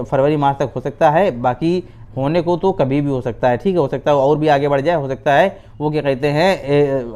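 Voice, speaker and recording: A man's voice: on the high side, dark tone, smooth. A man. He explains things informally, like a video host updating candidates. He circles back over phrases and leans on fillers and little check-in tags. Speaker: YouTube host giving exam updates.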